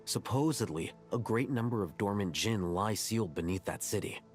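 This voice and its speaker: Guy playing calm game desert traveller, lowish voice, measured and knowing, faint music. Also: natural voice. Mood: thoughtful. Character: level-headed, knowledgeable male desert traveller. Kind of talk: anime game voice acting.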